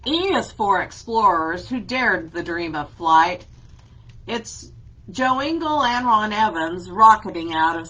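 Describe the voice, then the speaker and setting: A woman librarian leading a children's story time, reading a picture book aloud and explaining gently. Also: mid-range voice, highs cut off.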